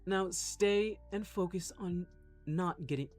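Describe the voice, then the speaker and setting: A man performs a commanding yandere bad boy in a dramatic audio roleplay. He is foul-mouthed, possessive and aggressive, drawing his words out slowly. Music plays behind him. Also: high-pitched. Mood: angry, protective.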